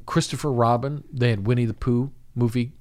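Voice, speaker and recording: A man chatting casually on a comedy podcast about voice work, with a soft, quiet way of talking. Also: medium pitch, steady tone, fairly quick. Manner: soft-spoken, breaks into silly voices. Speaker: voice actor and podcast guest.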